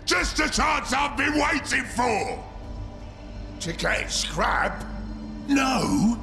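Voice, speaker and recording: Gravelly, bellowing ork brute. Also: male voice, extremely high pitch, sing-song, shouting, over music, full character voice. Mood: excited bellowing. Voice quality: gravelly, rough. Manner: bellowing, drawn-out, crude. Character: brutish, greedy, scheming ork warboss and his excitable underlings. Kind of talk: video game character acting.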